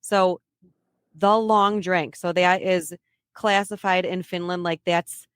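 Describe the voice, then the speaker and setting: A woman's voice: on the low side, steady tone, slow pace, smooth, no room sound, studio quality. A woman hosting a podcast interview in a casual, chatty way, often restarting her phrases. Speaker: podcast host.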